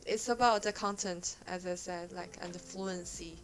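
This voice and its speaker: A woman's voice: fairly low pitch, fairly even intonation, moderate pace, rough recording. A young adult woman from China with a Chinese accent and Chinese as her first language, chatting as a podcast guest in a hesitant way, with many fillers. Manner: hesitant, frequent fillers, laughs easily. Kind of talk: casual chat.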